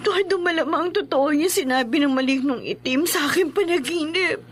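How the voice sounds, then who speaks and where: Actress as frightened young Filipina wife, very high voice, halting and emotional, for horror radio drama. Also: female voice, natural voice. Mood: anguished. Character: frightened young married woman, distraught and pleading.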